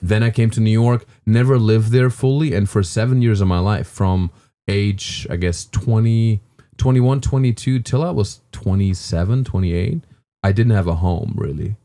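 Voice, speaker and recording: A man's voice: fairly low pitch, moderate pace, warm tone. A man in his thirties with a German accent, a guest comedian chatting on a podcast and telling rambling stories full of fillers and restarts. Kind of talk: casual podcast chat.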